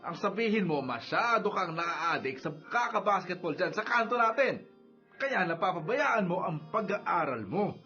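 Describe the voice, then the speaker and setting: Casting: demanding Filipino dad, nagging, high sharp voice with big swoops, radio drama over music. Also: male voice, lively intonation, measured pace, highs cut off, exaggerated voice. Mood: stern, exasperated. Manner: nagging, emphatic. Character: stern, demanding middle-aged father scolding his son.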